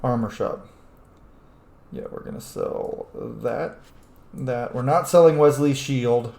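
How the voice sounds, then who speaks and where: A man's voice: medium pitch, slow pace, vocal fry, darkish tone. A man who streams games, giving casual, opinionated and sweary gameplay commentary. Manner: opinionated, profane. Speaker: game streamer. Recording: roomy sound with faint game music.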